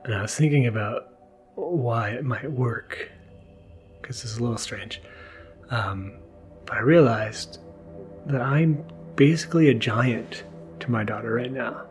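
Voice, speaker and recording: A man speaking as a podcast host in a casual, intimate monologue, hesitant and soft-spoken. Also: mid-range voice, unhurried, pauses often. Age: adult, new father. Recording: mellow music underneath. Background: soft mellow music.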